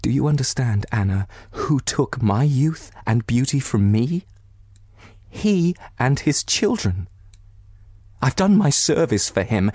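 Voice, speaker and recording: A man voicing a distraught, bitter betrayed wife in a novel, near tears, with anguished, halting and emphatic delivery. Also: mid-range voice, a little mellow, husky, dry recording, clean studio sound.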